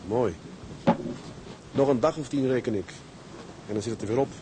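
An actor playing a resolute, burdened sea captain in a radio drama. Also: male voice, medium pitch, normal speed, very noisy, lightly acted. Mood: tender, relieved. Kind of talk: radio drama acting with letter-reading monologues.

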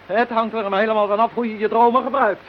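A woman's voice: on the high side, fairly even intonation, fast talker, close to an everyday voice. A woman playing a practical, self-assured witch in a radio drama, explaining things in an assured, instructive way. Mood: confident. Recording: dull, hissy radio drama recording.

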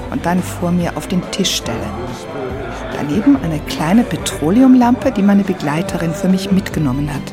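A woman with a fairly low voice narrates a radio feature in the first person. The text is literary and told as a story, and music runs clearly behind her. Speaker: narrator and host playing a wish-granting fairy.

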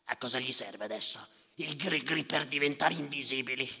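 A gravelly, bitter stage storyteller. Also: male voice, mid-range voice, normal speed, full character voice. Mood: haunted, sardonic. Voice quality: gravelly, creaky. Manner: declamatory, repetitive, swinging from muttering to shouting. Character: bitter, sardonic male storyteller recounting sea tragedies. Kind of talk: theatrical stage monologue. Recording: reverberant theatre with live music behind. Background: live music.

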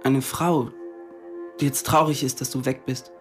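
A man's voice: mid-range voice, level tone, unhurried, faint music behind, lightly acted. An actor playing an earnest, devoted and protective boy in a radio play, speaking tenderly. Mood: concerned.

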